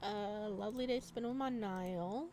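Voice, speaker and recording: A woman doing a video game let's play, reading game text aloud with expressive drama and adding casual asides. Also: medium pitch, moderate pace, a little hiss or hum. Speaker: gaming video creator.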